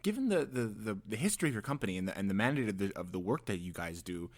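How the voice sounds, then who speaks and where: Young dude cohosting a podcast, super animated pitch, teasing and playful. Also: male voice, rapid-fire. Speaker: podcast co-host. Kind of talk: casual chat.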